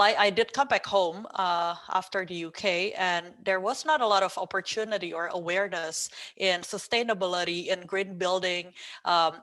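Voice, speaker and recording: A woman, a podcast co-host talking about her own path in a casual interview, thoughtful and pausing often with ums. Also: lowish voice, a bit bright. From Indonesia. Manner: thoughtful, hesitant with frequent ums.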